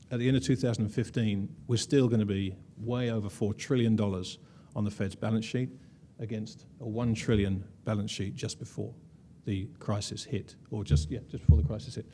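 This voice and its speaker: A man's voice: lowish voice, warm tone, slight background noise. A man presenting slides at a conference, wry and conversational, with frequent "uh" fillers. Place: conference room.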